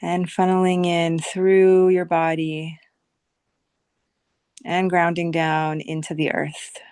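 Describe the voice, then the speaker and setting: A woman leading a guided visualization meditation as a teacher, speaking soothingly in short phrases with long, unhurried pauses. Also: on the low side, level tone, darkish tone, clear, resonant tone, no echo.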